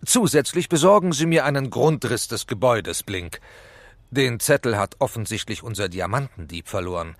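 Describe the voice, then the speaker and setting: Casting: male actor as curt SWAT corporal for a kids' radio play, animated pitch, clipped formal orders. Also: moderate pace, no echo, natural voice. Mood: brisk command. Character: terse, commanding police SWAT team leader, corporal. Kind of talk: German children's audio drama acting.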